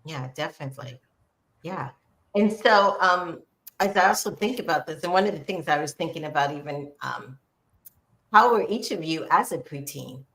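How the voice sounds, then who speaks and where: Low-voiced woman hosting a podcast. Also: lively intonation, moderate pace, gravelly, a bit bright. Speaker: podcast host. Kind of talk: casual podcast conversation.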